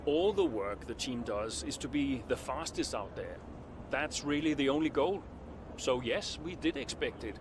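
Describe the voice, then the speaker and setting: A man acts out a veteran racing driver for a game cutscene, low in pitch and naturalistic. He comes across as weary, a little insecure and quick to defend himself. Clear ambient noise sits behind him. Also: expressive, lightly acted. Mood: composed, guarded.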